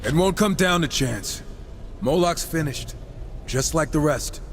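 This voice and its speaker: A man's voice: a little high, animated, measured pace, slight background noise. An actor playing a cocky, wisecracking horseman in a video game, with a flippant, casual delivery. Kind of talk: video game character acting.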